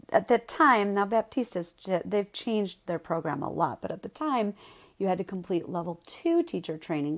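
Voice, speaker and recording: A woman in her thirties with a Wisconsin accent, a yoga teacher chatting casually about her path, with many ums and likes. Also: on the low side. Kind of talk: casual personal podcast monologue.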